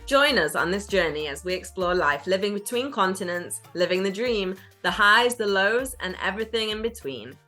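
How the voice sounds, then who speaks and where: A woman's voice: mid-range voice, animated, moderate pace, few pauses, sharp tone, soft background music. A woman with a British accent, co-hosting a podcast and speaking casually. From United Kingdom. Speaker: podcast co-host. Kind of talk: casual chat.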